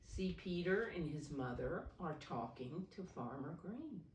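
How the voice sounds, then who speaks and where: Low-voiced woman, slow storytime teacher. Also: animated, slight background noise. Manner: simple, deliberate explaining, refers to herself in third person. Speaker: preschool teacher reading a story aloud. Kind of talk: read-aloud storytime for preschoolers. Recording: roomy echo, distant microphone.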